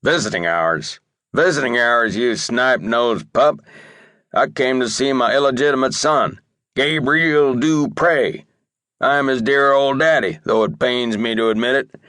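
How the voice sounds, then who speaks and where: A man's voice: mid-range voice, measured pace, fairly bright tone, no echo, clean studio sound, voice pushed up, natural voice. A man voicing a foul-mouthed, cantankerous old cowboy with a blustering drawl in an audiobook reading.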